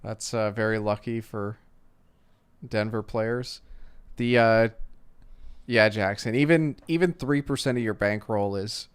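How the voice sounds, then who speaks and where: Male sports analyst co-host, lowish pitch, clean smooth tone, conversational explainer with plenty of asides. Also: a little slow, pauses often. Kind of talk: casual analytical sports and betting chat.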